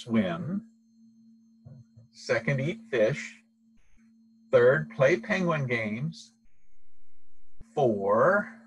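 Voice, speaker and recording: A middle-aged man reading a children's picture book aloud in a deliberate, expressive storytelling manner, recorded outdoors. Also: mid-range voice, a little hiss or hum, poor audio. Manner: deliberate, expressive delivery for young listeners. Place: outdoors, in an open wooded park.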